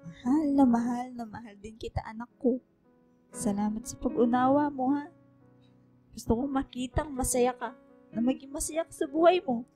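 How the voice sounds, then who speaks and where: A gentle mother in poor health, acted by a woman, speaks in a soft-edged, mellow tone. Her delivery is tender and reassuring, in radio drama style with music behind her. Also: high voice, moderate pace, lightly acted. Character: gentle ailing mother of a teenage daughter. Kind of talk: Filipino radio drama acting.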